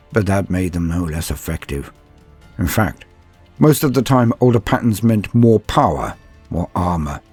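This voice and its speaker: A husky-voiced man narrates a grim war tale over music. His delivery is theatrical and deliberate, swinging widely in pitch as he goes. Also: darkish tone. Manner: grave, theatrical, deliberate phrasing. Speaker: storyteller. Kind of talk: dramatic scripted fantasy war story narration.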